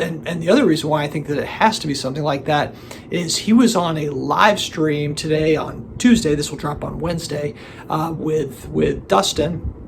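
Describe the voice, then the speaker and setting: A man hosting his own collectibles channel, talking casually to the camera while hoarse from laryngitis, rambling with many fillers and restarts. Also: on the high side, slight background noise. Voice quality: gravelly, strained voice. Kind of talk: casual solo video commentary.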